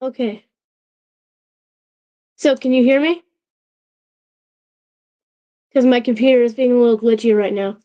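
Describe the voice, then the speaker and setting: A woman hosting a casual livestream, talking in a halting way with frequent restarts and check-ins with her chat, her voice a little off from a sore throat that is still healing. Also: a little high, warm tone, dull audio. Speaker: channel host.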